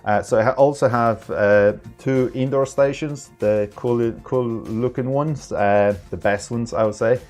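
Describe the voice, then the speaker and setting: A man presenting an informal, unscripted tech tutorial, explaining in a halting way with non-native phrasing. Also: medium pitch, steady tone, a little mellow, faint music behind.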